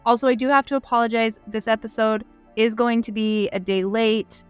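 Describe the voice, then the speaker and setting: A woman's voice: on the high side, steady tone, moderate pace, clean tone, faint music behind. A woman co-hosting a podcast in upbeat, chatty casual conversation, laughing easily. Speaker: podcast co-host. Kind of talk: casual chat.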